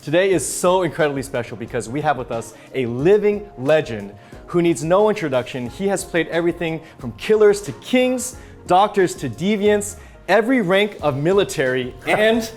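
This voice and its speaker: A man's voice: a little high, lively intonation, moderate pace, soft background music. A man hosting a casual interview show, talking with an upbeat, enthusiastic manner in a cafe after hours. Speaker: host.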